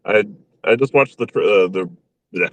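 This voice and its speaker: A young man chatting casually as an interview guest, bashful and quick to laugh at himself. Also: fairly low pitch, highs cut off. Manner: laughs often, bashful and self-deprecating.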